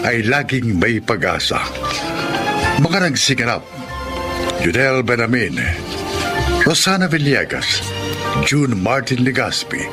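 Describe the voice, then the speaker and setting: A man with a Filipino accent announcing a radio drama in a solemn, formal scripted narration. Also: medium pitch. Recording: music behind the announcer.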